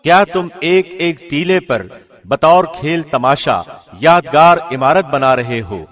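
A man narrating a scripture translation in a formal, measured, solemn announcer's delivery. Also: fairly high pitch, expressive, fairly bright tone.

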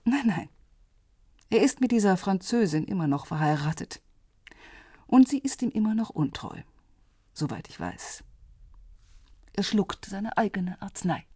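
A woman playing a wry, bitter, guarded middle-aged divorcee in radio drama dialogue, with a husky, sardonic delivery. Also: mid-range voice, big pitch swings, a little slow, pauses often, warm tone, no room sound, studio quality.

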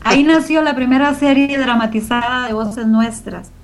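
A woman's voice: fairly high pitch, normal speed. A middle-aged woman, giving reflective, explanatory answers as an interview guest. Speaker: interview guest, organization founder.

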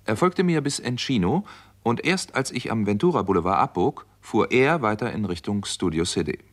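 A low-pitched, earnest doctor who tells his own case in a 1960s radio crime play. He speaks in a measured, matter-of-fact way, like a sober investigator. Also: expressive. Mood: sober narrating. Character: earnest American doctor and amateur sleuth, narrating his case. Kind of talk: 1960s German radio crime drama acting.

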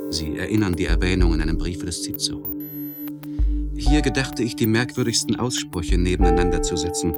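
A melancholy, scholarly nobleman delivers a literary radio monologue in a low male voice. His speech is solemn and reflective, carefully measured and rising into emphatic swells. Music plays clearly behind the voice. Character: melancholy, learned nobleman confessing a lost inner vigour.

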